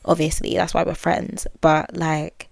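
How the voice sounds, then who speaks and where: Low, flat-voiced young woman. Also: unhurried, creaky, dry recording, clean studio sound. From United Kingdom. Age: 20s. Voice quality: well-spoken. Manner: candid, musing, many fillers and self-corrections. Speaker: podcast host. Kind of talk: reflective solo podcast monologue.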